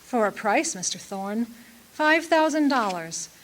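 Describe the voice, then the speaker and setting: A woman's voice: on the high side, animated, a little slow. A woman playing a poised, melodramatic femme fatale client in an old-time radio detective drama. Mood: indignant, urgent. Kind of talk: 1940s-style radio detective drama acting.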